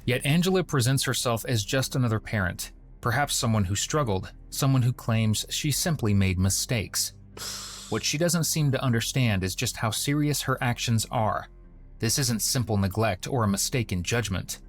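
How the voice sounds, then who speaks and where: A man reading scripted true crime narration in a measured, grave way. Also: mid-range voice, lively intonation, faint music behind.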